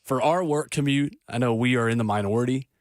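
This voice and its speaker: A man's voice: mid-range voice, fast talker, no echo. Chatty male radio co-host, dry studio. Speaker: radio sports show co-host. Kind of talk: casual morning radio chat. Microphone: studio microphone.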